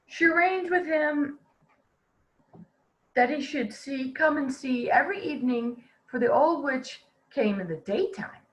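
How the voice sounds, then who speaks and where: A woman reading a fairy tale aloud to children in an expressive storytelling style. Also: sits high, measured pace, poor audio. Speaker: volunteer storybook reader.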